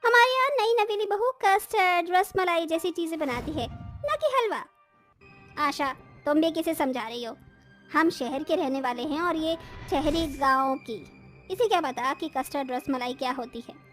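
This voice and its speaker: Family woman for a moral-story cartoon, played by an actress: high-pitched, melodramatic, exaggerated. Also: expressive, measured pace, fairly bright tone, soft background music. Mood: theatrical. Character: women of a cartoon family: brides and haughty in-laws. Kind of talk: dramatic Hindi moral-story cartoon dubbing.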